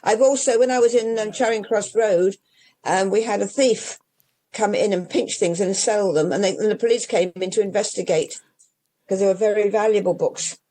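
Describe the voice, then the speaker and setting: An older woman from England talks casually about her bookshop, her mellow, warm voice wandering through half-finished phrases and asides. She restarts often and drifts from one point to the next. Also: medium pitch, normal speed. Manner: rambling, with many restarts and trailing asides. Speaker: independent bookshop owner. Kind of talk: casual interview chat.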